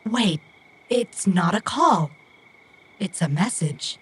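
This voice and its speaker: An earnest, literal-minded young female runner from a cyberpunk audio drama. She speaks slowly and a little huskily, in stilted, formal sentences without contractions. There is a childlike stubbornness in her careful, precise wording. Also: mid-range voice, expressive, breathy edge, heavily acted. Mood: indignant.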